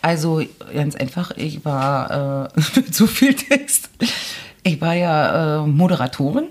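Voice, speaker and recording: A woman's voice: low voice, lively intonation, takes it slowly, a little mellow, slight background noise. A middle-aged woman from East Germany, a podcast guest telling chatty anecdotes about her past and laughing often. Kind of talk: casual chat. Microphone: studio microphone, audio-only podcast.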